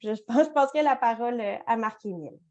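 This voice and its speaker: Hesitant woman, fairly high voice. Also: fast talker, darkish tone, highs cut off. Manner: hesitant, restarting phrases. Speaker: project organizer. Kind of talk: casual public talk.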